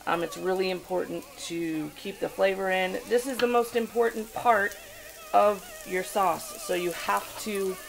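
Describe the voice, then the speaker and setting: A woman's voice: medium pitch, unhurried, creaky, a little hiss or hum, poor audio. A woman filming herself at home as she cooks, explaining each step in a chatty, instructive way with many fillers, recorded on a handheld camera in her kitchen. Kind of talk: casual cooking demonstration. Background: food sizzling in a pan.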